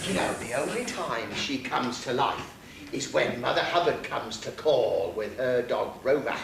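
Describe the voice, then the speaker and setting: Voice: mid-range voice, animated, unhurried, gravelly. A performer playing a brash, comic pantomime dame, a busy kitchen woman with a broad, chatty manner. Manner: broad, chatty, calls to the audience. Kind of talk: stage pantomime acting. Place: theatre stage, live amateur pantomime. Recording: distant, very echoey, noisy stage recording.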